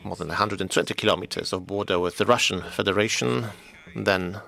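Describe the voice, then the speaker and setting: A man interprets formal conference speeches in English with a low, gravelly voice. His delivery is steady and measured. Now and then his voice thins into a near-whisper. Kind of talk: simultaneous interpretation.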